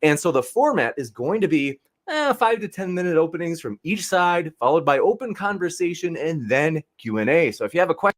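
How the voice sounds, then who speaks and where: Lively high-voiced male moderator. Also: a little fast, hardly any pauses. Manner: chatty, enthusiastic, addresses audience as folks. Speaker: debate moderator. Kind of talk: upbeat moderator introduction.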